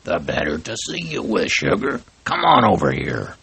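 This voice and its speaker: A man's voice: very deep voice, sing-song, normal speed, gravelly, pushed and bright, slightly rough, no echo, pitched below the performer's own voice, exaggerated voice. A man voicing a sly big bad wolf posing as grandma, coaxing with pet names. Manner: sweet-talking with pet names.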